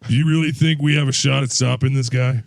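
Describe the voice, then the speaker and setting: Casting: man playing weary, wry hero, gravelly mid-pitched voice, casual muttering, close dry audio drama sound. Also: fairly even intonation, a little fast, no room sound, lightly acted. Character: wry, tired, plain-spoken male hero.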